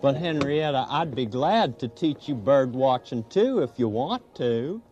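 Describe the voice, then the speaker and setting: A friendly owl puppet talks with an eager, chatty manner, as a man puts him on, with chuckles and "uh"s. His voice is mellow and warm, and the old broadcast sound is dull. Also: mid-range voice, lively intonation, a little slow, faint music behind, natural voice. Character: friendly male owl puppet who knows birds. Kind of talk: children's puppet show.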